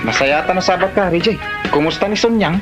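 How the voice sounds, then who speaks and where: A man's voice: high-pitched, a little fast, over loud music, lightly acted. A man acting in an Ilocano comedy drama as a devoted, persistent suitor, with a Filipino Ilocano accent. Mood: concerned.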